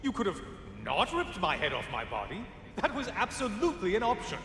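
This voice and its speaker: An actor playing a bitter, sarcastic dead Greek god in a video game, taunting and theatrical. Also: male voice, sits high, wildly swooping pitch, fairly quick, creaky, a little hiss or hum, heavily acted. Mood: accusing, taunting. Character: mocking, bitter dead Greek sun god, severed head. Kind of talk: dramatic video game acting. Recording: echoey hall reverb, loud music behind.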